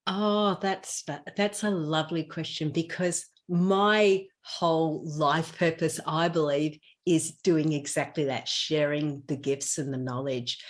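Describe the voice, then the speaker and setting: A woman's voice: low-pitched, steady tone, takes it slowly, few pauses. Chatty Australian woman, earnest and conversational. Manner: chatty and earnest, explains with everyday examples. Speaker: podcast guest, intuition teacher and digital marketer. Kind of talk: conversational interview answers.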